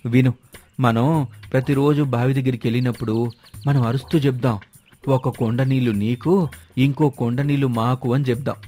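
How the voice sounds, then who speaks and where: A man's voice: mid-range voice, fairly even intonation, moderate pace, pauses often, warm tone, natural voice. A man voicing a boastful, foolish village schemer with confident, rustic colloquial speech in a moral story. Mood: self-assured.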